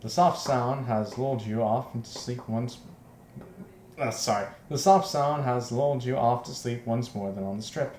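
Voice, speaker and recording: A man's voice: mid-range voice, moderate pace. Echoey male let's player reading aloud. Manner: exclaims loudly and repeats himself in reaction. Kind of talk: reading a visual novel aloud with joking reactions. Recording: roomy echo, faint background noise.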